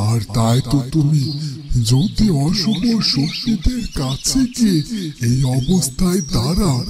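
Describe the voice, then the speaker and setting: A man voicing a solemn, protective holy dervish in a cartoon, a fatherly mentor who cautions deliberately and repeats himself. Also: a little high. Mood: worried, warning. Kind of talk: dramatic Bengali cartoon voice acting.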